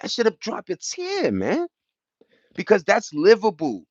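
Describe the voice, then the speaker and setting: A man's voice: high voice, huge pitch swings, a little slow, husky, dry recording, lo-fi sound. A man with an American accent talking casually on a livestream, passionate and emphatic, rambling in slangy street talk. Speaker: livestream host reading and answering viewer chat.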